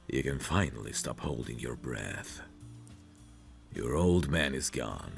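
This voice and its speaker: A man playing a possessive, protective mafia boss in an intimate audio roleplay, calmly menacing, commanding and smug. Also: heavily acted. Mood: smug, soothing. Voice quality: deep, gravelly. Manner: slow, commanding, smug, teasing endearments.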